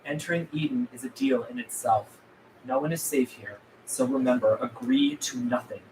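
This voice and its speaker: A man's voice: medium pitch, very animated, normal speed, a little mellow. A man playing a defiant, world-weary and blunt rebel leader at a script table read. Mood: bitter warning. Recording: echoey room with background noise.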